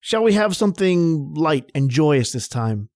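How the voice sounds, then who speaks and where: A man's voice: fairly high pitch, big pitch swings, measured pace, a little mellow, no echo, studio quality. A man playing a charming, bold young suitor in an old-time radio drama, talking in a gallant, flowery way. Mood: charming, eager.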